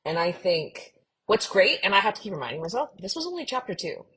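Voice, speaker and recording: A woman's voice: mid-range voice, big pitch swings, quick pace, fairly bright tone, slightly rough. A woman co-hosting a casual fiction podcast discussion, speaking in an earnest, informal and emphatic way. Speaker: podcast co-host.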